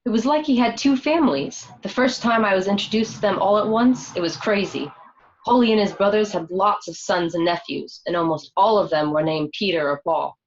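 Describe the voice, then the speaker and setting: A woman acting a candid, conversational young married woman in a radio play, quick to get defensive. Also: medium pitch, lightly acted. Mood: candid, amazed. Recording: dull, lo-fi home recording.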